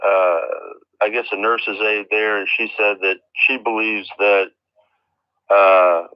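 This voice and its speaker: An American man talking on a phone call as a caller with a complaint, halting and exasperated, repeating himself. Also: on the low side, flat delivery, clear, resonant tone, no room sound. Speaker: caller, relative of a patient. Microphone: telephone. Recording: dull lo-fi telephone line.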